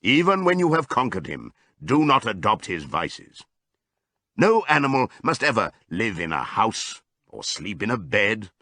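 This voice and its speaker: A man voicing an old male pig in an audiobook, giving a solemn, deliberate, rousing speech to his comrades. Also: lowish voice, bright, forceful tone, no room sound, clean studio sound, exaggerated voice. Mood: solemn, commanding. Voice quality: hoarse as scripted old voice. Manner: oratorical, deliberate.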